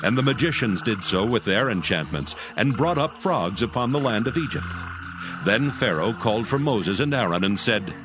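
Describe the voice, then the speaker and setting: A man's voice: fairly low pitch, expressive, normal speed. A man giving a solemn, formal scripted narration of scripture. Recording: prominent music and effects behind.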